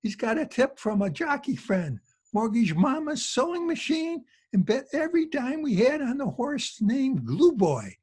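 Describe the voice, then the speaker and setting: A rambling old-timer from a radio comedy. The voice is high-pitched and raspy, folksy and full of jokey advice. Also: male voice, sing-song, measured pace, darkish tone, heavily acted. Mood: gleeful reminiscing. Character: rambling, chuckling old man, folksy joker. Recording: home video-call recording.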